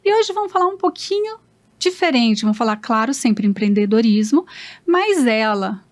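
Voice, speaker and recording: A Brazilian woman hosts a podcast interview in a fairly high voice. Her style is casual and conversational, as she asks questions and comments along the way. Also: sing-song, normal speed. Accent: Brazilian Portuguese.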